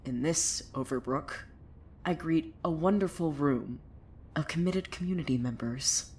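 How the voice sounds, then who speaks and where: An unhinged cult preacher played by a woman in an audio drama, with an extremely low voice for a woman. The delivery is slow and theatrical, swinging from hushed, bitter brooding about visions to manic intensity. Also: a little hiss or hum. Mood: brooding, eerie. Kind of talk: dramatic monologue in an audio fiction podcast.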